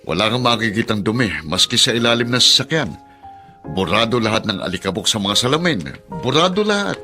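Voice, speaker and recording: An actor playing an anguished, meek middle-aged ex-prisoner father in a Filipino radio drama, with a Filipino accent and a halting, stammering delivery. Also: mid-range voice, big pitch swings, pushed and bright, soft background music. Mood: meek, eager.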